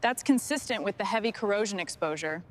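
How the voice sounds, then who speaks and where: A woman's voice: medium pitch, animated, fast, a little hiss or hum, close to an everyday voice. A woman playing a focused restoration crew boss, giving short orders in acted workshop dialogue. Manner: short, instructing phrases. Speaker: crew leader in a truck restoration.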